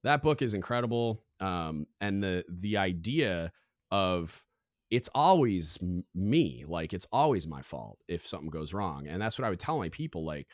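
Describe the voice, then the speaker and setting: A man with a deep booming voice, co-hosting a podcast in a candid, rambling way full of fillers. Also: big pitch swings, normal speed, no room sound, clean studio sound. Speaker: podcast co-host. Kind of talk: casual podcast conversation.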